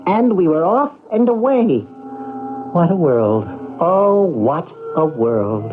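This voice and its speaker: Whimsical talking dime, lively radio storyteller. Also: male voice, fairly high pitch, takes it slowly. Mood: wry, whimsical. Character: talking dime, a wry self-styled poet telling its story. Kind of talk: radio drama narration. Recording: muffled, narrow-band old radio recording. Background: prominent music.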